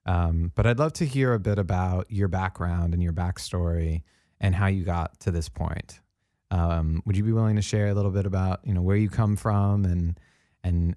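A man with a deep, relaxed voice hosts a reflective interview. He talks thoughtfully and affirms the other person as he goes. The sound is close and dry. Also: dry recording. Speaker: interviewer, host.

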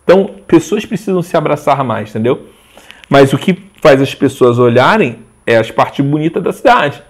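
A man in his forties, a guest in a casual interview, talking in a rambling, animated way with frequent restarts. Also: fairly high pitch, moderate pace. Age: 40s. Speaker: interview guest, social media page owner.

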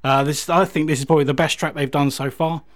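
A man's voice: on the high side, fairly even intonation, fast. A man presenting a music radio show in a casual, enthusiastic, effusive way between songs.

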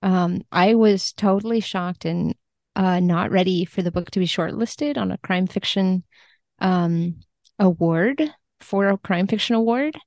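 A woman's voice: medium pitch, unhurried, a little mellow, no echo, studio quality. A woman talking casually as a translator guest in a podcast interview.

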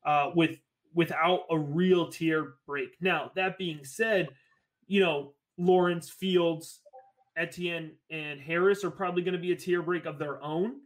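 A man's voice: a little high, steady tone, measured pace, poor audio. A man from Connecticut, a podcast guest, talking casually and enthusiastically and often repeating himself as he rambles on. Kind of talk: casual podcast chat about fantasy football.

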